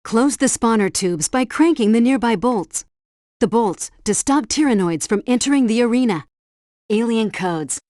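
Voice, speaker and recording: A woman's voice: a little high, lively intonation, moderate pace, darkish tone, no room sound, clean studio sound, lightly acted. A woman playing a composed, helpful spy agency helpdesk operator in a video game, giving scripted instructions in a precise briefing style. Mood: composed, instructive. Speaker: mission helpdesk guide. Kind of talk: scripted game tutorial narration.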